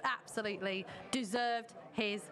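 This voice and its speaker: A woman's voice: medium pitch, steady tone, a little slow, a bit bright. Woman sports pundit with crowd behind. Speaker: co-presenter and analyst.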